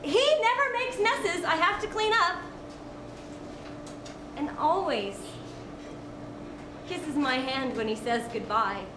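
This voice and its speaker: High-voiced woman reciting, echoey and distant. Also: animated, takes it slowly, pauses often. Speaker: reader at a literary recitation. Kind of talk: expressive recitation of a literary piece. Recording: echoey, distant mic, clear background noise.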